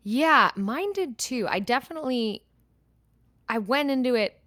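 A woman's voice: high-pitched, expressive, a little slow, fairly bright tone, no echo. A woman in her thirties co-hosting a podcast, chatting candidly and reading a personal letter aloud. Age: 30s. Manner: candid, talks in starts and restarts. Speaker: podcast co-host.

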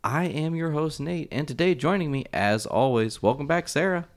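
A man's voice: on the high side, lively intonation, moderate pace. A man hosting a casual, chatty podcast and talking with his co-hosts. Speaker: podcast host.

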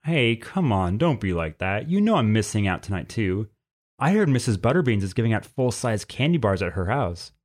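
A man's voice: lowish voice, very animated, normal speed, a little mellow. A man playing a laid-back, cheerfully unbothered teenage male skink in a story reading, with a casual manner. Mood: coaxing.

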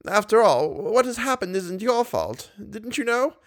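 A serene old lady with a sweet, childlike innocence and a lilting voice that rises and falls freely. She is high and light, as a male narrator puts her on for a storybook reading. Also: big pitch swings, measured pace, full character voice. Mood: earnest. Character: kindly old bedridden woman, childlike and serene.

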